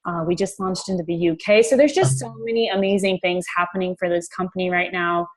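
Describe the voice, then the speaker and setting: A woman giving an informal, enthusiastic sales pitch as a team leader on a conference call. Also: a little fast, poor audio.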